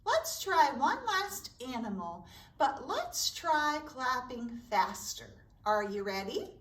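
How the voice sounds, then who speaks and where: A woman's voice: high voice, sing-song, slow, fairly bright tone. A woman hosting a preschool story time, speaking in simple, encouraging phrases to young children as a children's librarian. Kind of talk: sing-song preschool storytime with songs and read-aloud. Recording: roomy echo, distant microphone.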